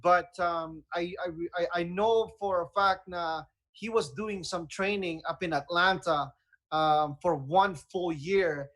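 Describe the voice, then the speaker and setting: A Filipino-accented man with a notably high voice hosts a radio talk show. He speaks in an enthusiastic, conversational manner, keeping things casual. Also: takes it slowly, fairly bright tone.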